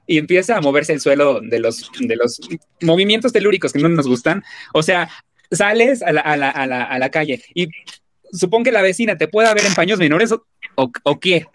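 A man with a Mexican accent conducting a casual interview, working toward his questions in a halting, roundabout way. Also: a little high, animated, a bit bright. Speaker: magazine interviewer.

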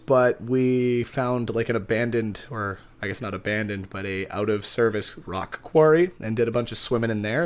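A man co-hosting a podcast, telling stories in a casual, rambling, wry and self-deprecating way. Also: medium pitch. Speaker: podcast co-host. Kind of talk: casual conversational storytelling.

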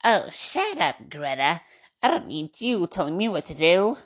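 A woman's voice: fairly low pitch, very animated, a little slow. A woman voicing a grumpy, impatient elderly sister who snaps at her siblings and calls them names in an amateur radio drama. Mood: cranky. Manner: snappish, bossy, name-calling. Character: grumpy eighty-something sister, rude and impatient.

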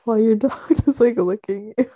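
A young woman in laid-back casual chat, reacting slowly and giggling often. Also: fairly high pitch, mellow, no room sound.

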